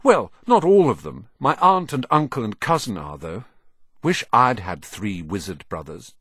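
A man's voice: on the low side, big pitch swings, unhurried, fairly bright tone, slightly rough, dry recording, studio quality, full character voice. A man voicing a curious, modest young boy new to wizardry, earnest and a little hesitant, as audiobook dialogue. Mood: candid.

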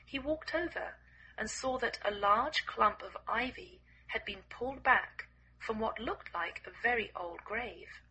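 A hoarse English woman hosting a podcast for English learners, reading a story aloud slowly and clearly. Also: on the high side, sharp tone, rough recording. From England.

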